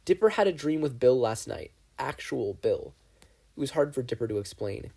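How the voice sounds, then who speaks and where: Gravelly man reading a story aloud. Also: mid-range voice, sing-song, normal speed, a little mellow. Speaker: podfic reader. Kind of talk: reading fan fiction aloud.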